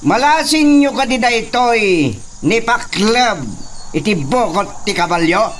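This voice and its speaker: An actor playing a cruel, domineering young hacienda master in an Ilocano radio drama, commanding and menacing. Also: male voice, sits high, animated, takes it slowly, a bit bright, slight background noise, full character voice. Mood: haughty, commanding.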